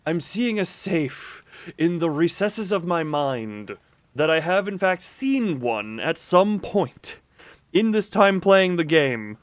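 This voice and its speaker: A man's voice: a little high, expressive, slow pace, dry recording. A man doing casual live video game commentary, rambling playfully to his viewers and repeating himself as he exclaims.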